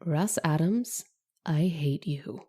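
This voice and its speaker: A woman playing an affectionate, playful, excitable wife in an old-time radio drama. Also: low voice, slow pace, husky, exaggerated voice. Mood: mock indignant.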